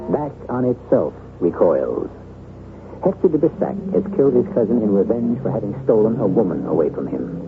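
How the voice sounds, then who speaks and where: A man hosting a radio drama, reading scripted narration in a measured, deliberate, grave manner. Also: medium pitch, noisy background. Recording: phone-like vintage radio broadcast.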